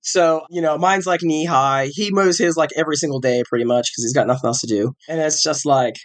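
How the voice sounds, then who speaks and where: A man's voice: fairly high pitch, a little fast. A man in his forties hosting a podcast, chatting casually and rambling with restarts, a little sick with a cough. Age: 40s. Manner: rambling, self-correcting, chatty. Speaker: podcast host.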